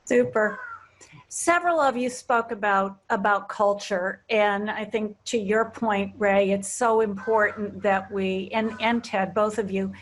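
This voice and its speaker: A woman's voice: mid-range voice, steady tone, unhurried, low-quality recording. Woman moderating a panel.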